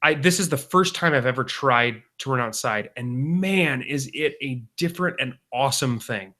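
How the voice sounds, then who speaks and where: A man's voice: mid-range voice, expressive, normal speed, bright, forceful tone. A man in his thirties co-hosting a podcast, talking casually and rambling with many restarts. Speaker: podcast co-host. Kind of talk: casual chat.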